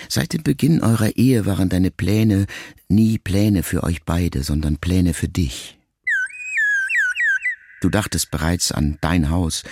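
Male, very low and creaky: a grieving writer son, measured, intimate radio-play monologue. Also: darkish tone, no room sound, clean studio sound, exaggerated voice. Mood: reflective, quietly reproachful. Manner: measured, reflective, intimate, with deliberate pauses. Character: grieving grown son, a writer, addressing his dying mother.

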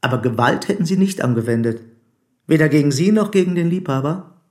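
An actor playing a probing, formal police commissioner in a German radio play, speaking deliberately and insistently. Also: male voice, on the high side, lively intonation, a little mellow, close to an everyday voice. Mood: pressing.

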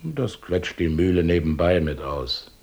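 A wealthy miller who sweet-talks a visitor into his plans. The voice is very deep and sly, and he coaxes as he talks. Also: big pitch swings, moderate pace, heavy background noise. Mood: persuasive. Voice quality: coaxing, sly. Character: wealthy village miller, shrewd and persuasive. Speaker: rich miller in a radio play. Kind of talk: radio drama acting.

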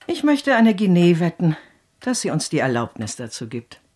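Husky, animated housemaid. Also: female voice, lowish voice, normal speed. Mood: fond, coaxing. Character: blunt, warm-hearted, loyal country housemaid. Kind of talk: radio drama acting.

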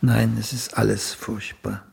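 An actor playing an aging celebrated playwright and mentor in a radio play, raspy, blunt and pedantic, with a dryly cutting drawl. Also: male voice, lowish voice, level tone, unhurried, darkish tone, a little hiss or hum. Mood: dryly dismissive. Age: older. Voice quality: raspy, gravelly. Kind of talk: German radio play acting.

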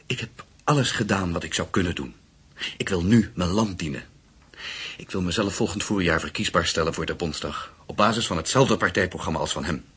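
Deep, very husky courtly businessman. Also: fairly quick, fairly bright tone, full character voice. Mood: persuasive, solemn. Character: earnest, courtly, ambitious businessman wooing a politician's widow. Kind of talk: Dutch radio play acting.

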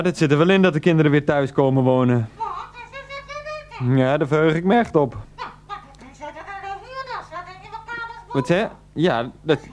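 An actor playing an exasperated middle-aged husband and son in a radio play, flustered, repetitive and placating. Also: male voice, fairly high pitch, darkish tone. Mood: strained, placating.

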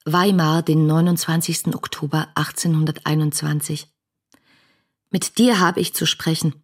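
An actress in a literary radio drama playing a passionate woman writer who yearns for her beloved poet, speaking in fervent, poetic phrases with a husky, breathy voice. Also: sits low, steady tone, normal speed. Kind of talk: literary radio drama monologue, reading letters aloud. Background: music.

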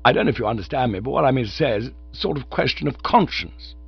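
A man voicing a silly, excitable young upper-class English gentleman in a storybook reading, plummy and wordy. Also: medium pitch, quick pace, vocal fry, slightly rough, dry recording, muffled recording, faint music behind, voice pushed up, full character voice. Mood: breezy, self-satisfied. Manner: plummy, indignant or breezy, wordy.

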